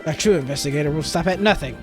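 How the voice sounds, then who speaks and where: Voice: medium pitch, racing, close to an everyday voice. A game player voicing a stern, solemn police detective from a visual novel. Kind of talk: reading visual novel dialogue aloud. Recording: music behind the voice.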